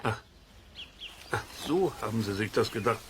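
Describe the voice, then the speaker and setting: A man's voice: lowish voice, animated, normal speed, natural voice. A man playing an easygoing, curious gardener in a German children's radio play, musing and hesitant as he talks. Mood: hesitant, sceptical. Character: easygoing, curious male gardener living in a trailer. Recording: noisy cassette transfer of radio play.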